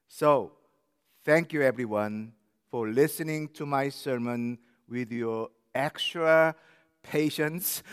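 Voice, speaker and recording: A man in his sixties from Korea with a Korean accent, preaching a sermon in a slow, careful, earnest way. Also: medium pitch. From South Korea. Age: 60s. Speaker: pastor. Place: church sanctuary. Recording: long church hall reverb.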